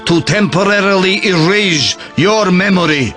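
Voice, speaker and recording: Grave priest, game cutscene, high-pitched male voice, very slow measured delivery, solemn, music behind. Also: pushed and bright. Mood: solemn, earnest. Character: solemn priest revealing a secret royal heritage. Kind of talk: dramatic video game cutscene acting.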